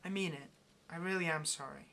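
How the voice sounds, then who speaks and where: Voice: medium pitch, fairly quick, gravelly, sharp tone. A performer playing a lonely, pleading, affectionate caged demon in an intimate ASMR roleplay, speaking in a hesitant, coaxing way. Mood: apologetic. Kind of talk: ASMR roleplay, intimate one-sided conversation.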